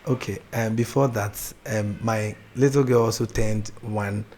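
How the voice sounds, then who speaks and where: Young ghanaian guy, lowish voice, soft and laughy, bit of hiss behind. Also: fairly even intonation, unhurried. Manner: soft-spoken, laughs easily, hesitant restarts. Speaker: gospel artist guest. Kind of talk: casual interview chat.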